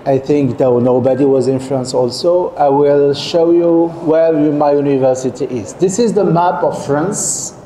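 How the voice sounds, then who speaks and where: A man's voice: on the high side, a little slow, few pauses, dark tone. Middle-aged male lecturer, heard in an echoey lecture hall with a faint hum, addressing students and checking in with them often. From Algeria. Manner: keeps checking in with the audience, repeats and restarts phrases. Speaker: guest professor lecturing students. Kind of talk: seminar lecture.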